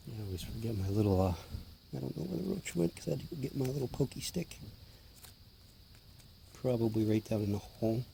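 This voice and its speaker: Casual male hobby vlogger, hoarse, mellow low tone, offhand delivery, faint background hiss. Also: lively intonation, fairly quick, long pauses, low-quality recording. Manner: chatty, offhand, drops to a hush while watching. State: hoarse, clearing his throat. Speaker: tarantula keeper filming feedings. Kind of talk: casual hobby vlog narration.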